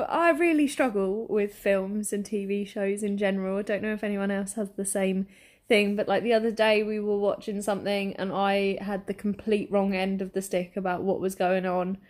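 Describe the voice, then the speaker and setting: A woman's voice: medium pitch, darkish tone. A woman from England, co-hosting a podcast in casual, rambling chat. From southeast England. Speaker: podcast co-host.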